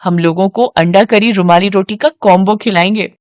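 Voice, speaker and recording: A woman's voice: medium pitch, fairly even intonation, normal speed, darkish tone, no echo, studio quality, close to an everyday voice. A woman voicing a clever young wife in a moral story, reassuring and practical. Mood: reassuring, confident.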